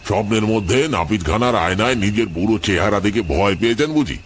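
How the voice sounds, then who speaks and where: A man's voice: on the low side, animated, fairly quick, a bit bright, a little hiss or hum, voice pushed up. A man voicing a smirking village tea-shop joker, teasing and sarcastic, in an audio story. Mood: mocking. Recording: music under the voice.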